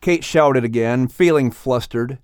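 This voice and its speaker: A man narrating a children's audiobook in an expressive, scripted storytelling style. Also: medium pitch, a little slow, smooth, dry recording, studio quality.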